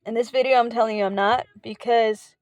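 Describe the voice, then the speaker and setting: A woman speaking earnestly in a personal faith vlog, heartfelt and halting, often pausing on filler words. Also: a little high, fairly even intonation, darkish tone, no room sound, lo-fi sound, soft background music. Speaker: faith vlogger.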